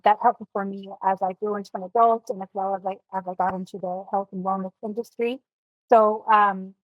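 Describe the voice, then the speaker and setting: A woman's voice: medium pitch, dry recording, highs cut off. A woman from Miami, a nutrition coach guesting on a podcast, speaking earnestly and reflectively with many you-knows and ums. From Miami, United States. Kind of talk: podcast interview.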